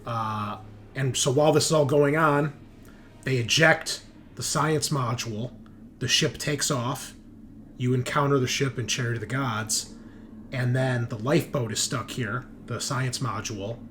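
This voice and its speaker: A man acting as game master, explaining things in a casual recap style, with emphatic starts that trail off quieter. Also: medium pitch, steady tone, a little slow, slight background noise. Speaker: game master of a tabletop roleplaying show.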